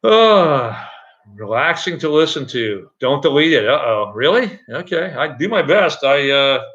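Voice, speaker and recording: Man, loud voice, rambling casual chat, middle-aged, muffled lo-fi stream audio. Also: on the high side, very animated, measured pace. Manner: rambling, loose, lots of fillers and restarts. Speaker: channel host. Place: echoey room with hardwood floors at home.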